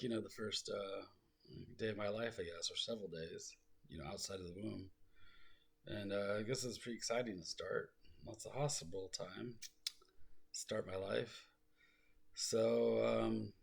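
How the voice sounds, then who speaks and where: A man rambling through his own life story in a soft, low voice he calls soothing, full of fillers and asides. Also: creaky, breathy edge. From South Lake Tahoe, California. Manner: rambling, self-deprecating, full of fillers and digressions.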